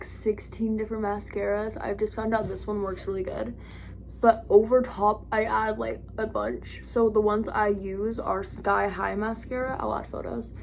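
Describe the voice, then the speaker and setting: A young woman with a raspy voice, chatting casually through a makeup tutorial, rambling and correcting herself as she goes. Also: a little high, a little hiss or hum. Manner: rambling, self-correcting, lots of "like". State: raspy today. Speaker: vlogger.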